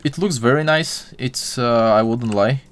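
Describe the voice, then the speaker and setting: A man's voice: mid-range voice, measured pace. A young man from Bulgaria chatting with his live-stream viewers while he models, full of fillers and restarts.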